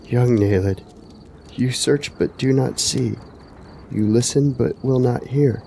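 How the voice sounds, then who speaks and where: Man playing a slow, gravelly, broken warrior. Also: medium pitch, dark tone, slight background noise. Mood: weary, grave. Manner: halting, grave. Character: broken, haunted brave warrior, solemn and weary. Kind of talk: radio drama acting.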